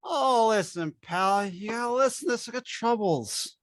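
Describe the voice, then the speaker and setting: Actor as tipsy male barfly, pestering, whiny, animated pitch, radio drama. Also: normal speed, natural voice. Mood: pleading, tipsy. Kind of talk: old-time radio drama acting.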